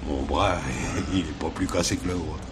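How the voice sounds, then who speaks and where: A deep-voiced petty crook played by a man in a French radio drama, sly and full of slang. He wheedles and bargains like a practised informant, over clear background noise from an old recording. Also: fairly even intonation, moderate pace, close to an everyday voice. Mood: sly, bargaining.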